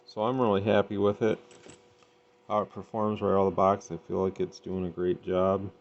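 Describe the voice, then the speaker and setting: A man's voice: deep voice, level tone, normal speed. A man giving a casual, off-the-cuff equipment demonstration, matter-of-fact and thinking aloud as he works. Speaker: radio hobbyist demonstrating and testing equipment. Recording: dull lo-fi sound with faint noise.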